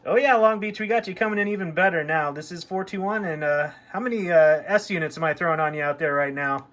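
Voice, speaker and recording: A man's voice: a little high, fast talker. A man giving a casual hands-on demo and calling out as a CB radio hobbyist. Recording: clear background radio noise.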